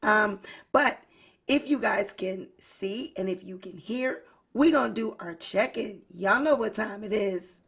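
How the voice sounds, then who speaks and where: Hoarse, husky woman hosting a livestream. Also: medium pitch, a little slow, a bit bright. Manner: chatty, addresses viewers as y'all and you guys. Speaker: YouTube host. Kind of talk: casual livestream commentary.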